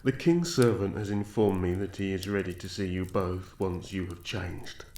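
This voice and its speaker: A man's voice: low-pitched, normal speed, a little mellow, close to an everyday voice. A man playing a polite, proud elf royal servant and tailor in a fantasy ASMR roleplay, courteous and assured. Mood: deferential. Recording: echoey room, noticeable background noise.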